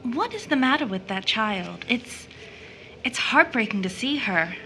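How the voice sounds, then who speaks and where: A woman's voice: on the high side, animated, unhurried, bright, forceful tone, faint music behind, exaggerated voice, poor audio. An actress playing a proud, bitter young woman who is kind to children, in a scripted audio drama. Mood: gentle, concerned.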